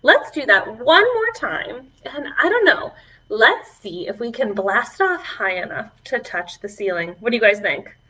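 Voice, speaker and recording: A woman children's librarian leading a preschool storytime online, encouraging and animated as she talks to young children. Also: a little high, a little slow, distant mic. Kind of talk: preschool singalong and chat to children. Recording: dull, lo-fi online video audio.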